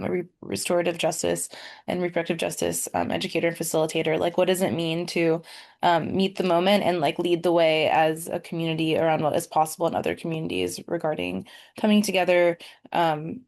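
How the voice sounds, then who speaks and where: A woman answers a panel question haltingly, filling her pauses with ums and starting over mid-thought. Her voice is lowish and gravelly with vocal fry. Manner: hesitant, many ums and restarts. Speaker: panel guest. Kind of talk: casual panel discussion.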